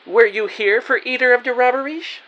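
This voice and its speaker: A man playing a self-important, excitable private investigator, narrating his case theatrically in an audio drama. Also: very high-pitched, a little fast, lo-fi sound. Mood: intent, dramatic. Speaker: narrator and lead actor. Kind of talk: first-person detective audio drama narration.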